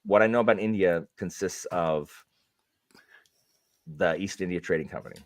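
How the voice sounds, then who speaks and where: A man hosting a livestream, chatting casually in a lively, rambling way. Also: low-pitched, normal speed. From Canada. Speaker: streamer host.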